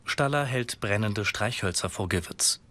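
A man's voice: lowish voice, measured pace, a bit bright, a little hiss or hum. A man reading neutral scripted audio description of scenes as a narrator.

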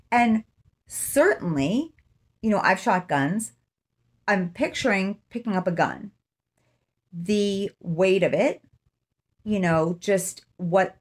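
A middle-aged woman giving casual true-crime commentary to camera, conversational and thinking aloud, recorded on a phone at arm's length in a room at home. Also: mid-range voice. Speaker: vlogger. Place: small room indoors, seated in an armchair.

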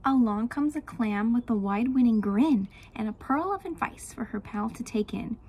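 Woman, highish pitch, husky tinge, expressive storybook read-aloud, teacher addressing children. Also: moderate pace. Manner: expressive, addressing young listeners. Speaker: teacher reading aloud to pupils.

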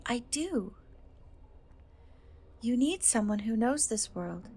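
A woman playing a gentle, caring young cat girl rescuer in a soft-spoken fantasy ASMR roleplay, reassuring and a little hesitant. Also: fairly high pitch, a little hiss or hum. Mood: earnest, encouraging.

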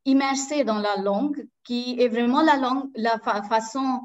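A woman's voice: on the high side, normal speed. A woman in her thirties from India, a native Marathi speaker with an Indian accent, talking as a guest on a video call, with many hesitant fillers and restarts. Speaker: guest, Sanskrit teacher. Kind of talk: casual interview. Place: small room indoors. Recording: dull lo-fi video call audio.

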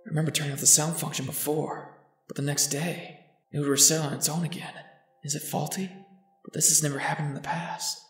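A man's voice: a little high, level tone, a little fast, fairly bright tone, echoey room, soft background music, natural voice, poor audio. A man playing a curt, guarded young man in a fan dub, blunt and dry. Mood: guarded, brooding.

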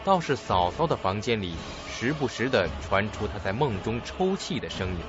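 Low, animated male suspense narrator. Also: normal speed. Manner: dramatic storytelling. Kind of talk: scripted suspense drama narration. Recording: dull lo-fi audio with music.

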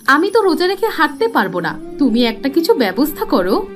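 A woman playing a fussy, expressive well-off wife in a cartoon. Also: high-pitched, brisk, over music. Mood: worried, complaining. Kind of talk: cartoon voice acting.

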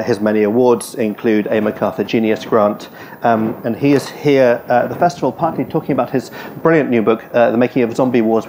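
A man's voice: fairly low pitch, darkish tone. Man moderating a stage panel, hesitant, lots of fillers, faint hiss. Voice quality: hesitant, filler-heavy phrasing. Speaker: event chair. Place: stage at a book festival.

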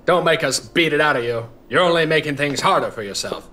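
An actor playing a drunk, disillusioned veteran newspaper reporter with world-weary noir patter in an audio drama. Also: male voice, a little high, lively intonation, fairly quick, sharp tone. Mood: worked up. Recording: faint music underneath.

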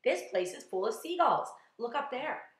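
A woman voicing an earnest young boy in a children's storybook, speaking in a calm, measured, resolute way. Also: a little high, pitched above the performer's own voice. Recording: echoey, roomy sound.

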